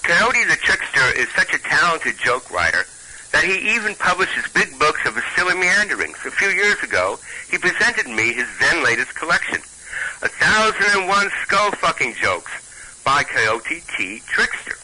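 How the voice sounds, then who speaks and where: A man with a Brooklyn accent, a storyteller and stand-up comic, chatting haltingly with many restarts and reading a comic tale aloud. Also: on the high side, sing-song, bright, forceful tone, a little hiss or hum, poor audio.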